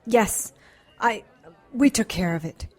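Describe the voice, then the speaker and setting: A woman's voice: fairly high pitch, animated, slow pace, faint music behind. A woman playing a protective, defensive big sister in an audio drama, earnest and emotional. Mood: relieved, soothing.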